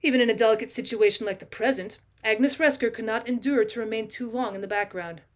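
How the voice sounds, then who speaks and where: Higher-pitched woman reading a classic story. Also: quick pace. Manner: expressive, acts out dialogue. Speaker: teacher and audiobook reader. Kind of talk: scripted storytelling narration.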